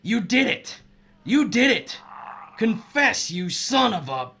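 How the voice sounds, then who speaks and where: A man's voice: high voice, very animated, slow pace, gravelly, noisy background, exaggerated voice. An actor playing a bitter, vengeful missile officer betrayed by his wife, intense and accusatory, in an audio drama. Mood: anguished rage.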